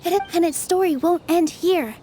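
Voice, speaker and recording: High-voiced gentle young dancer. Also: female voice, moderate pace, a little hiss or hum. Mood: warm, earnest. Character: gentle, earnest young woman theater dancer. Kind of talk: video game voice acting.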